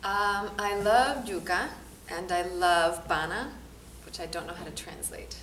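Relaxed woman theater artist interviewed. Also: on the low side, a little slow, noisy background. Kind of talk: short interview answers. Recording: echoey room, distant mic.

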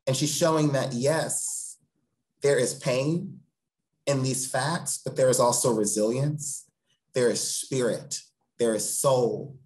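A man's voice: medium pitch, slow, darkish tone, poor audio. Earnest, eloquent man in an interview. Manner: earnest, eloquent, emphatic repeated phrasing. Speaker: theatre director, interview guest. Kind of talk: thoughtful interview talk. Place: home room on a video call. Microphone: video call.

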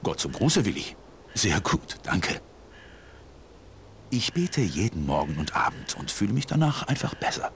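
An older man playing a kindly old village pastor in a game, measured, formal and genial. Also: fairly low pitch, sing-song, gravelly, slight background noise, heavily acted. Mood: genial, serene. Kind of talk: scripted adventure game dialogue.

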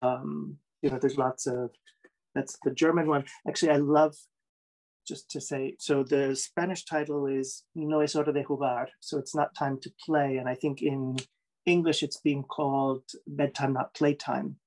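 A man in his forties, an author, chatting quickly about his own translations on a video call from a room at home. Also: mid-range voice, low-quality recording. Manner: quick, chatty, animated about the books he shows. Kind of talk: online panel talk. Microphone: laptop webcam video call.

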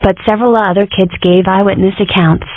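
A woman voicing a sensible, assertive fox mother in a cartoon comic dub, with brisk, wry delivery. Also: medium pitch, steady tone, narrow, tinny audio, close to an everyday voice. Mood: businesslike.

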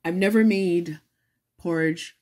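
A woman's voice: lowish voice, takes it slowly, a little mellow. A woman hosting a casual home cooking demonstration, explaining things in an encouraging way. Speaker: host of a home cooking show.

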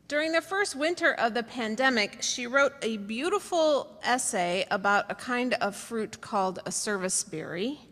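A middle-aged American woman delivering a sermon from notes in a measured, emphatic storytelling way. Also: medium pitch, a bit bright. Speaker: preacher. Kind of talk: sermon read from notes to a congregation. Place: church meeting hall, indoors. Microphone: podium gooseneck microphone. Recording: reverberant hall, podium microphone.

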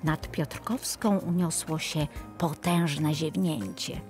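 A woman's voice: deep voice, fairly even intonation, slow, a little mellow, soft background music. A woman narrating a children's story expressively as the storyteller.

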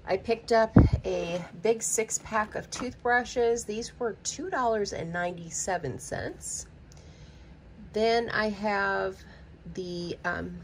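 A woman's voice: lowish voice, slight background noise. A middle-aged woman with a Southern American accent, chatting casually through a shopping haul at her kitchen counter, with frequent ums and asides. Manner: chatty, rambling, many ums, baby talk to her cat. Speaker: budgeting vlogger. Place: home kitchen.

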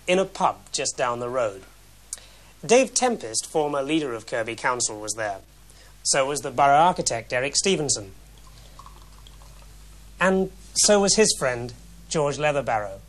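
A man in his thirties with an English accent giving a scripted television report in a wry, measured way with deliberate pauses. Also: mid-range voice, a bit bright. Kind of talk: scripted investigative report, to camera and voice-over. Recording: 1970s TV film sound, background noise.

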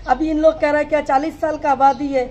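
A woman's voice: high-pitched, little pitch movement, quick pace, darkish tone. A woman in her fifties, a local resident, interviewed in the street, complaining in a distressed, pleading way. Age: 50s. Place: street outdoors.

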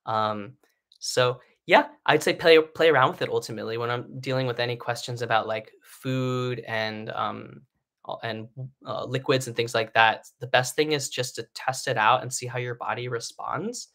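A man in his thirties with a bright, forward voice, teaching singing on a live stream from home. He talks in a loose, encouraging way, with lots of "um" and "like" and frequent restarts. The sound comes from a webcam mic in a living room. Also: mid-range voice, normal speed. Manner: chatty, encouraging, many fillers and restarts.

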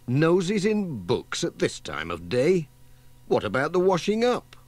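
A man plays a friendly village postman in a children's storybook. He is chatty and good-natured, with a lively, swinging pitch and an easy, slow pace. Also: no room sound, exaggerated voice. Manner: cheerful, chatty.

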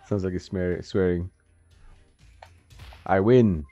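A man's voice: low voice, dark tone. A man giving casual, reactive commentary while he plays a retro fighting game, chuckling often and talking back to the game. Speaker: retro game video host. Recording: dull dry audio with game music behind.

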